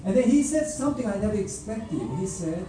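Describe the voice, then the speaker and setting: Man preaching, dark mellow voice, slow and deliberate, echoey hall, away from the mic. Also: sits high, animated, recorded from a distance, faint music behind. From raised by a North Korean father. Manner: slow, deliberate delivery with pauses and filler words. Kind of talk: sermon with a personal mission story.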